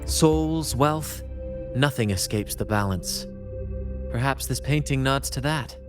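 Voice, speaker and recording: A man's voice: medium pitch, slow pace, over music, natural voice. A man voicing a confident, theatrical young adventurer in a video game. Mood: intrigued, wry.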